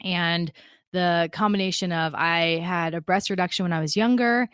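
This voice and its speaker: Young woman host, lowish voice, upbeat and plain-spoken, dry close mic. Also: steady tone, moderate pace, smooth, no room sound. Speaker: podcast host. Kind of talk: casual chat.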